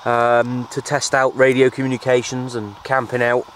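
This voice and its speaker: A man with a British accent chatting casually and informally to viewers in an unhurried, easygoing way, like an outdoor hobby vlogger. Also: completely flat delivery, fairly bright tone. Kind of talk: casual outdoor vlog chat. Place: outdoors at a windy makeshift shelter. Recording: noisy outdoor recording with ambient rumble.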